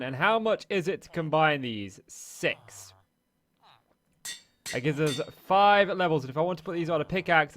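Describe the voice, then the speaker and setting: A man hosting a let's play, chatting casually and narrating each move as he plays, his voice a little hoarse. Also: a little high, a bit bright. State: slightly hoarse, losing his voice. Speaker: Minecraft let's play host. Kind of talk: casual gameplay commentary.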